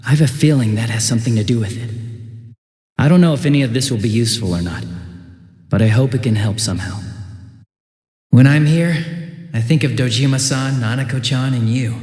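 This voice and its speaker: An actor playing a smug, two-faced young police detective in a video game, casual and sarcastic with a taunting, cruel edge. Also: male voice, mid-range voice, a little mellow, husky. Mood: sincere, subdued. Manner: casual, sarcastic, taunting, shifting from breezy to menacing. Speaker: police officer.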